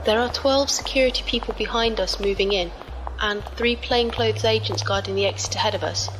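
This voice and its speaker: An actress playing a polite, formal and precise humanlike android squire in a science fiction audio drama. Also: female voice, mid-range voice, brisk, music in the background, natural voice, poor audio. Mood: cool, wary.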